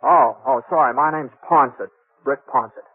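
A man's voice: medium pitch, breathy edge. A man playing a modest, courteous drifting gunslinger in a 1950s radio western, speaking hesitantly and folksily. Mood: hesitant, polite. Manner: hesitant, halting, folksy drawl in phrasing. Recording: dull old 1950s radio recording.

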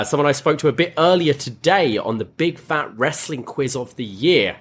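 A man's voice: medium pitch, fairly bright tone, breathy edge. A man hosting a wrestling podcast in an upbeat, chatty way, full of fillers and restarts. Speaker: podcast host. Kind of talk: casual chat.

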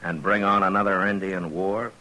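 A man playing a steady, authoritative frontier marshal in a 1950s radio drama, speaking in a measured, dry, laconic way. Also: low-pitched. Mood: dry, firm. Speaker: lead actor in a western radio drama. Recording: noisy vintage 1950s radio recording.

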